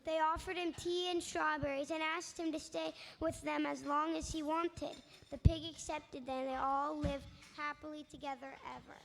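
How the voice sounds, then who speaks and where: A woman's voice: high voice, little pitch movement, normal speed, creaky, few pauses, music in the background. A woman reading a children's story aloud as narrator in reader's theatre, recorded in a reverberant hall. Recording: reverberant hall, faint background noise.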